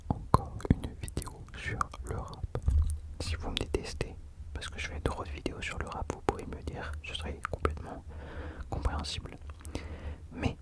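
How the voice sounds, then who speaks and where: A man speaking in an unvoiced whisper, soft and informal, chatting as the host of an ASMR video into a close microphone. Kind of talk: whispered ASMR talk about rap.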